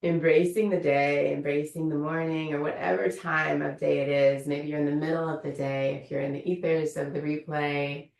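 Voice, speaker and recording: A low-voiced woman guides a meditation, her words unhurried and gently inviting. Her voice has a gravelly edge. She sounds a little away from the mic, and the room gives it an echo. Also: little pitch movement, recorded from a distance. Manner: slow, soothing, invitational guidance. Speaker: meditation teacher. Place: room of an online yoga studio.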